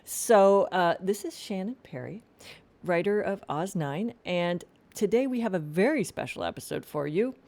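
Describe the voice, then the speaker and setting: A woman's voice: on the low side, animated, moderate pace, a little mellow. A woman hosting a podcast in a casual, informal interview style with a chatty way of talking. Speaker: host.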